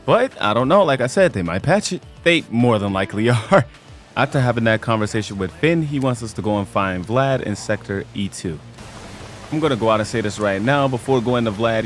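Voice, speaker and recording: Low-voiced laid-back gaming guy. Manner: laid-back, slangy, talks straight to viewers. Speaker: gaming video creator. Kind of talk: casual game tutorial voice-over.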